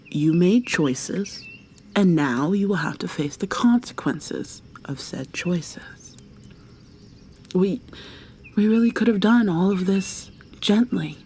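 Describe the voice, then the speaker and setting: A woman playing an obsessive, coldly possessive killer in an intimate ASMR roleplay, soft and often whispery, with a deliberate, mock-sweet, threatening manner. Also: medium pitch, animated, a little hiss or hum. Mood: controlled, ominous. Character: obsessive, menacing female serial killer lover, coldly possessive.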